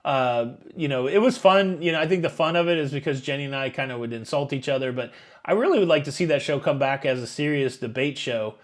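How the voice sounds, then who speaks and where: A man's voice: mid-range voice, fast talker. A man around fifty hosting a live stream, reading viewer questions and answering in a rambling, chatty way with many fillers. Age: 50s. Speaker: YouTube cruise channel host. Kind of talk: live Q&A chat reading super chats.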